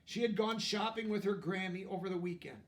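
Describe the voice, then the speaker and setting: A man with a notably high voice reads a children's storybook aloud. He is animated and expressive, stopping to explain words and pictures to young listeners. The microphone sits some way from him. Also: brisk, distant mic. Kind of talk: expressive read-aloud narration with asides.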